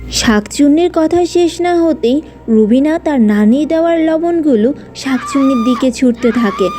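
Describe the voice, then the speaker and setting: A woman's voice: high-pitched, warm tone. A woman reading scripted narration for a children's cartoon tale. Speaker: story narrator.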